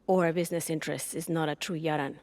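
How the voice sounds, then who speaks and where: A woman's voice: low voice, little pitch movement, fast, a little mellow, lightly acted. Actress as a stiff female admiral, echoey. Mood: stern, official. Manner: formal, clipped. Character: stiff, dutiful female navy admiral under pressure. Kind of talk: dramatic game cutscene acting. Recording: reverberant hall, music underneath.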